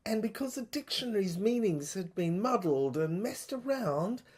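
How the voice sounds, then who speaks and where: A woman playing a troubled soldier in an audio drama monologue, thinking aloud in a halting, stammering, husky voice. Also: mid-range voice, expressive, darkish tone. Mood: haunted, intense. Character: troubled soldier piecing together a dead professor's secret diary.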